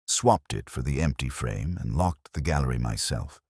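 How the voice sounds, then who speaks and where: Man acting a guarded, deep-voiced resigned man. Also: lively intonation, moderate pace, a little mellow, slightly rough, dry recording, studio quality, full character voice. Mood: tight, resolute. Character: thin, resigned, secretive man in his forties.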